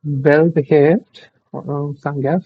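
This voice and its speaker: Gravelly, husky man thinking aloud. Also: a little high, fairly even intonation, takes it slowly, dry recording, narrow, tinny audio. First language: not English. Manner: rambling, self-interrupting, distracted asides. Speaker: streamer reading a tech article. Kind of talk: thinking aloud while reading.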